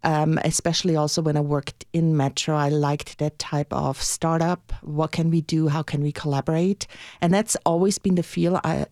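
Creaky-voiced middle-aged woman from Switzerland. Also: low voice, fairly even intonation, normal speed, a little mellow, no room sound, studio quality. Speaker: interview guest, housing consultant. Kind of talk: conversational radio interview.

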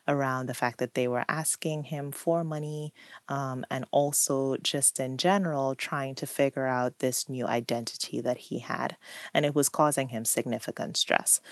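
Low-voiced woman from Trinidad and Tobago. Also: no room sound, clean studio sound. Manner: measured, explanatory, with fillers. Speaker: psychologist and financial therapist. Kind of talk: radio interview guest.

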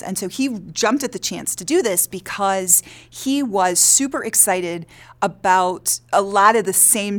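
A woman interviewee telling anecdotes in casual interview talk. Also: mid-range voice. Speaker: theater director, interviewee.

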